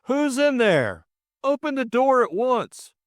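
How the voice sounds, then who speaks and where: A man's voice: very high voice, sing-song, drawn-out words, a bit bright, no room sound, clean studio sound, pitched above the performer's own voice, full character voice. A man voicing a pompous, easily outraged official in an audiobook, blustering and stammering. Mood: furious. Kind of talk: audiobook character acting.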